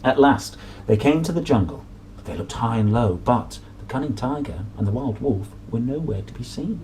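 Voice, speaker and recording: A man in his thirties reading a children's picture book aloud, playful and dramatic with drawn-out emphasis. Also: mid-range voice, lively intonation, dark tone, a little hiss or hum, low-quality recording. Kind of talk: animated children's story reading. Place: small room at home, at a desk.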